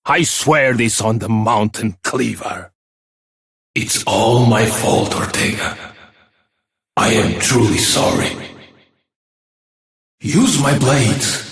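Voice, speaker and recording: An actor playing a noble, loyal veteran warrior in a video game, formal and measured, with a raspy voice. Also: male voice, medium pitch, no echo, studio quality, heavily acted. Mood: anguished, pleading. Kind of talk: scripted video game voice acting.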